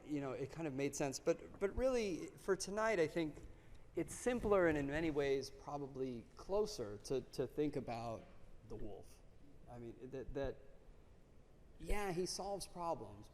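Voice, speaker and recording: A man's voice: a little high, a little mellow, a little hiss or hum. Man introducing a speaker in a hall. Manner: halting, many fillers and restarts. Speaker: lecture introducer. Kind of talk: spoken introduction at a lecture. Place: lecture auditorium. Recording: long auditorium reverb.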